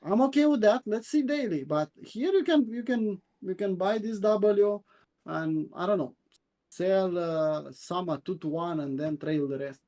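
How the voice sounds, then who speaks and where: A man from Romania with a Romanian accent, reviewing crypto charts on a live stream in a halting way, with many fillers and repeats. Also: high voice, mellow. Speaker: co-host, crypto chart analyst.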